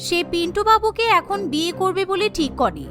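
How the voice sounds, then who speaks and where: A woman narrates a cartoon tale from a script. Her voice is extremely high and bright, with music playing behind it. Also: sing-song, a little fast.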